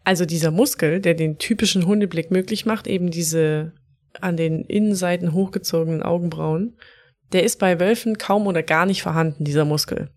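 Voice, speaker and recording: A woman's voice: lowish voice, fairly quick. Chatty woman podcast co-host. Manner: chatty, storytelling, laughs often. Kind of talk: casual podcast chat.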